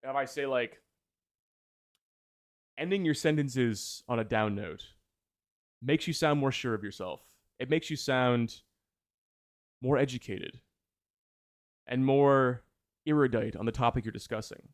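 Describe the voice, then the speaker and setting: A man's voice: medium pitch, a little slow, pauses often, no echo. Rambling, enthused man chatting, dry sound. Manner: rambling, enthused, self-correcting. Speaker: video essayist, livestream host. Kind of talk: casual livestream chat with viewers.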